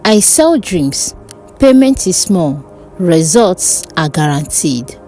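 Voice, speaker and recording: A woman voicing an eerie, mocking sorceress who sells dreams in a dark folk tale, purring and cryptic. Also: lowish voice, big pitch swings, soft background music. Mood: sly, purring. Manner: purring, slow, bored, cryptic.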